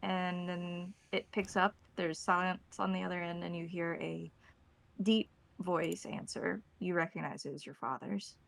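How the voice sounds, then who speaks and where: A woman's voice: lowish voice, steady tone, a little slow, vocal fry. A woman acting as game master, narrating a tabletop roleplaying game and calling for rolls.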